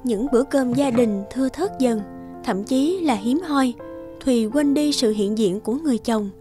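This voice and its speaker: A woman's voice: a little high, moderate pace, dark tone. A woman reading scripted storybook narration as the narrator. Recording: guitar music under the voice.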